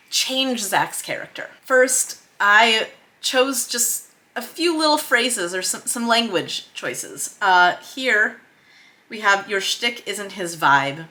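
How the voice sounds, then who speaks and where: A woman hosting a casual video log as a screenwriter, explaining her craft in an animated way with bits of script read out. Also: mid-range voice.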